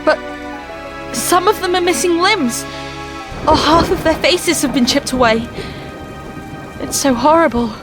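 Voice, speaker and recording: A plucky, warm-hearted adventuring companion acted by a woman in an audio drama. The voice is high, earnest and expressive, over music and the sound of rain. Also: measured pace, pauses often. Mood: horrified, concerned.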